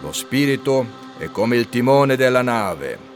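A solemn bronze saint played by a man speaks in a radio drama. He delivers pious maxims very slowly and sententiously, with an irritable streak. Faint music sits behind his voice. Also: medium pitch, sing-song. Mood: solemn, preaching. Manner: slow, sententious pronouncements. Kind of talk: audio drama acting.

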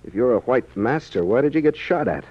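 Male actor's wry amateur detective, mid-pitched, brisk, defiant, 1940s radio acting, muffled old recording. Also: expressive, lightly acted. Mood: weary, pointed. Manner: sardonic, brisk, self-assured.

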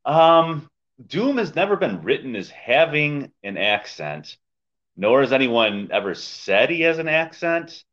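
A man's voice: mid-range voice, very animated, measured pace, a bit bright. A man co-hosting a podcast, talking in a casual, wry, conversational review style over a dull lo-fi recording. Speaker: podcast co-host. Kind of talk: casual comic book review chat. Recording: dull, lo-fi microphone sound.

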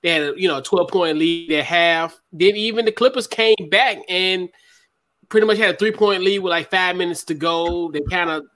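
A man on a sports podcast panel who talks excitedly and laughs easily. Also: high voice, normal speed. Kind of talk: casual sports talk.

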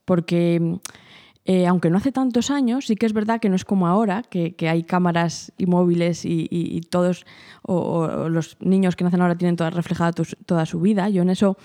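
A woman from answers interview questions. Her voice is mellow and warm. Her manner is quiet, reserved and thoughtful. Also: fairly low pitch, steady tone, a little fast. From Spain. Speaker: guest, journalist.

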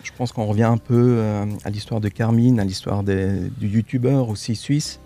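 A man speaks as a radio guest, slightly low and warm in tone. He hesitates, restarts and repeats words, letting phrases trail off softly. Quiet music sits faintly underneath. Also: steady tone, moderate pace. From Switzerland. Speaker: actor, studio guest. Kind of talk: radio interview.

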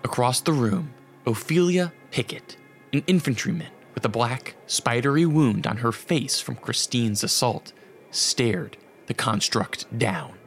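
A man with a gravelly voice reading scripted narration for a radio drama. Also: medium pitch, expressive, a little slow, soft background music.

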